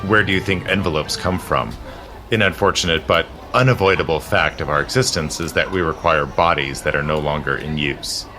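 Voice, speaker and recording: An actor playing a suave, zealous immortal gentleman in a period audio drama, with a smooth, persuasive, formal manner. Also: extremely low pitch, fairly quick, soft background music. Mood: sly, matter-of-fact. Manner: smooth, persuasive, theatrical, genteel formal phrasing.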